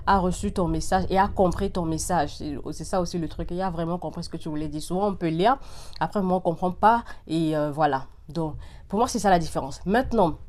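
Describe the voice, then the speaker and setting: A woman hosting a casual vlog, talking straight to her viewers in an animated, insistent way with repeated phrases and bits of English slang. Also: fairly low pitch. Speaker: vlog host giving opinions to viewers. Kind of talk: casual direct-to-viewer chat.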